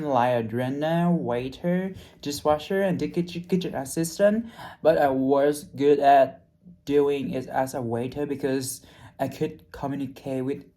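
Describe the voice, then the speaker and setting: A young man with a warm, mellow voice, speaking English as a second language. He talks haltingly and restarts often while he hunts for the right words. The feel is an unscripted personal video reflection. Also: medium pitch. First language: not English. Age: young adult. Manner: halting, frequent restarts and fillers, searching for words. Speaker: hospitality trainee.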